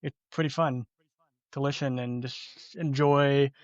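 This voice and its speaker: A man's voice: medium pitch, fairly even intonation, measured pace, no echo. A man chatting casually as a panelist on a podcast. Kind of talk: casual chat. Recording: dull lo-fi mic.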